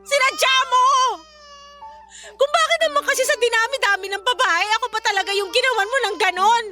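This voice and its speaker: High-pitched, bitter young Filipino mother. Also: brisk, music in the background. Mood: angry, resentful. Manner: curt, sarcastic, confrontational. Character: bitter, resentful young mother rejecting her baby and partner. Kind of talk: Filipino radio drama acting.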